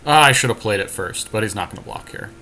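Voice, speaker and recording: A man with a fairly low voice and a bright, crisp edge talks casually through an online card game. He sounds like a podcast co-host analysing each play aloud, weighing options as he goes. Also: animated, fast, slight background noise. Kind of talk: casual play-by-play strategy talk.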